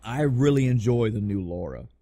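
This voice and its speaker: Male podcast host, mid-pitched, casual and rambling, emphatic with lots of cursing, dry recording. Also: expressive, moderate pace, mellow, no room sound. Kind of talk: casual podcast chat about video game movies.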